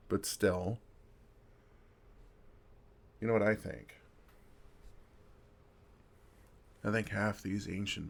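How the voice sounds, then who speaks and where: A man playing a kind, wry older vampire in an intimate ASMR roleplay, talking in a gentle, casual, dryly sarcastic way. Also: deep voice, creaky, heavily acted. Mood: mocking, exasperated.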